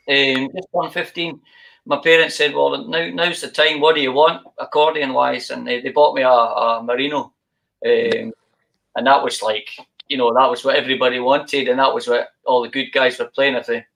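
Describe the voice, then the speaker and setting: A man's voice: medium pitch, gravelly, a bit bright, rough recording. A Scottish man, a guest musician, telling his story in a rambling, hesitant way with plenty of ums and restarts. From Scotland. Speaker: guest accordion player. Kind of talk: casual interview storytelling.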